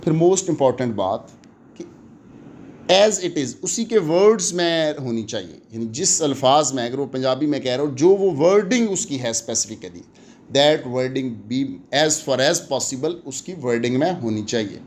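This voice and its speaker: A man with a Pakistani accent lecturing in an explanatory way, deliberate and emphatic, switching between languages as he teaches. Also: mid-range voice, expressive, darkish tone. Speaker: law lecturer.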